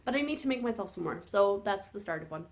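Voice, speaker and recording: A woman's voice: mid-range voice, expressive, quick pace. A woman hosting a podcast in casual chat, rambling and correcting herself as she goes, her voice phlegmy from a lingering cold. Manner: rambling, chatty, self-correcting. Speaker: podcast host. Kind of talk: casual chat about knitting projects.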